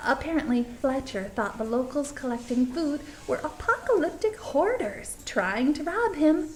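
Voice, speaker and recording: A woman's voice: fairly high pitch, slight background noise, low-quality recording. A woman playing a folksy small-town documentary host, gushing politely in tour-guide patter, as in a comedy mockumentary. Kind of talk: scripted mockumentary narration and interviews.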